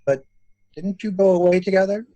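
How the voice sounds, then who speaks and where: A man acting a sharp, sardonic stranded traveler who probes insistently, in a dramatic mystery reading. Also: high voice, brisk, dry recording, lo-fi sound, natural voice. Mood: probing, sardonic. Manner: probing, insistent, theatrical. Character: stranded male traveler turned sharp, sardonic amateur interrogator.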